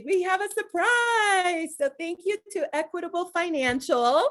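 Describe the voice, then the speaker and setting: A high-voiced woman leads an online community meeting. She talks in a chatty, upbeat way, laughing easily over a video call. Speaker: meeting host for a nonprofit women's group. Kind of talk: casual online meeting announcements.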